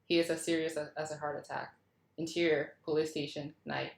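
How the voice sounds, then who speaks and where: A woman's voice: sits low, little pitch movement, a little fast, vocal fry. A woman reading out scene headings and action lines from a script as scripted narration. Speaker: reader of stage directions at a table read. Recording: roomy, echoing video call audio.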